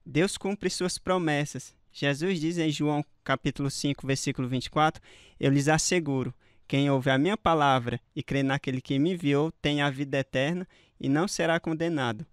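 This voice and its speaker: An actor voices an assured Brazilian male evangelist for a radio drama. His voice is on the high side and very dry. He delivers scripture with solemn, measured conviction. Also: steady tone, smooth, no echo, close to an everyday voice.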